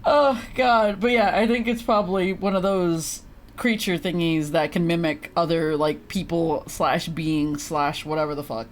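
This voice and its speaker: A woman's voice: fairly low pitch, fairly even intonation, measured pace. A woman streamer giving casual, reactive, sweary commentary while playing a game. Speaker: live streamer.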